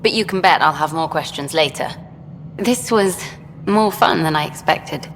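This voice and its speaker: An actress playing an eager, idealistic documentary journalist in a video game, brisk and frank. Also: female voice, deep voice. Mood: probing, pleased. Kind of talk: video game dialogue acting. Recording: long hall reverb, faint noise.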